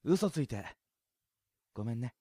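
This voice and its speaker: A woman playing an easygoing, teasing high school boy in an audio drama, with a light, self-deprecating, joking way of talking. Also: expressive, a little slow, dark tone, dry recording, studio quality, exaggerated voice. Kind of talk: anime-like Japanese audio drama acting.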